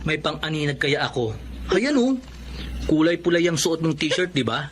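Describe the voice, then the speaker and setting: A man's voice: a little high, expressive, normal speed, a little hiss or hum, lightly acted. A man acting a tender, cheerful blind eighteen-year-old son in a Filipino radio drama. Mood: playful, delighted.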